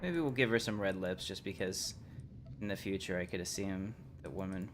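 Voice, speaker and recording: Low-voiced man musing through a game. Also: moderate pace, a little hiss or hum. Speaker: livestream host. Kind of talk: casual live game commentary, thinking aloud.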